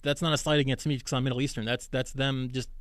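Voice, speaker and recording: Man from iraq, quick podcast talk, rambling restarts, dry close sound. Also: medium pitch, flat delivery, clean tone, no room sound. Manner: rambling, many restarts and fillers. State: sleepy. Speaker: podcast host. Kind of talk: casual live podcast chat.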